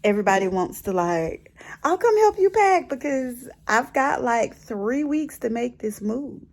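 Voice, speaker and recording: A woman's voice: a little high, animated, unhurried. A middle-aged American woman chatting casually in a personal vlog, telling her story expressively and repeating phrases for emphasis. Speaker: personal vlogger. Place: bedroom at home.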